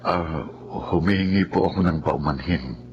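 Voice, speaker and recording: A man's voice: sits low, normal speed, highs cut off, slight background noise. An actor playing a somber, apologetic male doctor in a Filipino melodrama, with a Filipino accent.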